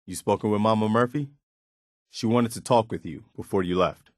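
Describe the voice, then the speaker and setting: Casting: male actor as weary, earnest male militia leader for a video game; lowish voice, close dry sound, sincere and plain-spoken. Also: moderate pace, no echo, natural voice. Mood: weary, somber. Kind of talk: video game dialogue acting.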